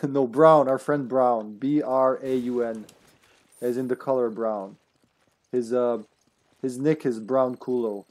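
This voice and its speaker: A man's voice: medium pitch, fairly even intonation, a little mellow. A man in his thirties chatting casually and joking while streaming a co-op game from home. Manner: joking, chatty, quick laughs. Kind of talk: casual co-op gameplay chat.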